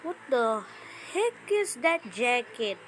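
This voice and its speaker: A woman's voice: a little high, sing-song, slow pace, slight background noise. A woman giving casual, mocking reaction commentary in a scornful, slangy manner, repeating phrases for emphasis. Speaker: YouTuber making a reaction video. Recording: loud music behind the voice. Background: music.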